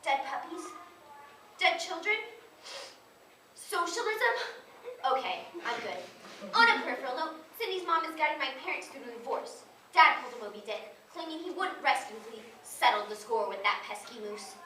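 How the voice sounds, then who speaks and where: A woman's voice: high voice, expressive, moderate pace, bright, forceful tone. A woman playing an earnest, dramatic young boy reading out a diary in a comic stage duo piece. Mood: nerdy, excitable. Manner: childish, emphatic diary recitation. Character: earnest, dramatic little boy growing into a nerdy eighth grader. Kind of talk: stage duo interpretation at a speech showcase. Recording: echoey, distant camera, faint room noise.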